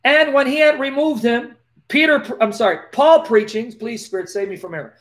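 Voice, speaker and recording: A man with a noticeable lisp and a strikingly high-pitched voice answers viewers' questions live. He reads scripture aloud in a preacherly, animated manner, close to a laptop webcam in a small dim room. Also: brisk. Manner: animated, preacherly, gestures while talking. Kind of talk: live Q&A, reading Bible verses aloud.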